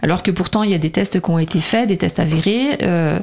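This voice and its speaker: A woman's voice: lowish voice, fast, a little mellow. A woman talking conversationally as a radio guest, emphatic and talkative, often voicing what other people say. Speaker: radio guest, preschool teacher and mother.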